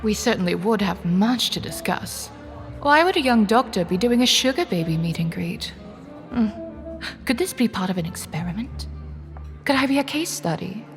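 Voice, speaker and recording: A woman's voice: medium pitch, animated, moderate pace, soft background music, close to an everyday voice. A woman playing an anxious, sardonic young woman, narrating and acting in a first-person romance audio drama. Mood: wry, brooding. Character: anxious, sardonic young woman, broke and flustered, defiant underneath.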